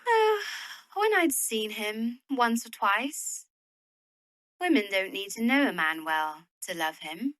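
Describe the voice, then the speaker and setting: An actress playing a proud, practical young Victorian widow in a period audio drama, speaking in a worldly, opinionated way. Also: female voice, on the high side, sing-song, takes it slowly, a bit bright, no room sound, full character voice. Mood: worldly, musing. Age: young adult.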